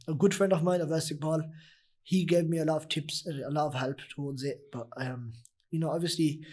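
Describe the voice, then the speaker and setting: A young man with a husky, deep voice that still sits a little high for a man. He talks loosely and casually as a guest, circling back with fillers and restarts. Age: young adult. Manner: rambling, many "you know" fillers and restarts. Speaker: nasheed artist, guest. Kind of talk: casual interview talk.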